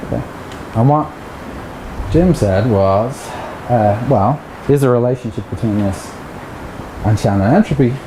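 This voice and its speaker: A man's voice: mid-range voice, a little slow, pauses often. Irish man lecturing in an echoey room. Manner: chatty, joking asides to the audience. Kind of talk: academic seminar talk. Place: seminar room with projector. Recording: echoey room with background noise.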